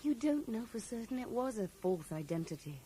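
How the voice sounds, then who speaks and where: A woman's voice: a little high, very animated, a little fast, dark tone. An actress playing a loyal, defensive young woman recovering in hospital in a 1980s radio drama, pointed and wistful in her delivery. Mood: guarded. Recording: slightly noisy old radio broadcast recording.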